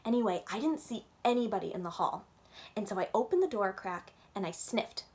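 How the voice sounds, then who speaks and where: A woman reading a children's book aloud as a storyteller, with expressive, childlike emphasis in a first-person narration. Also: medium pitch, brisk.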